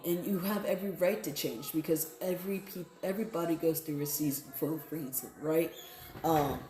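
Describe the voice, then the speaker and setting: A woman's voice: on the low side, dark tone, roomy sound. A woman giving a casual, improvised tarot reading, talking directly to her viewers in a conversational, repetitive way and often checking in with them. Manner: conversational, repeats phrases, often checks in with "right?" and "okay?". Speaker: tarot card reader.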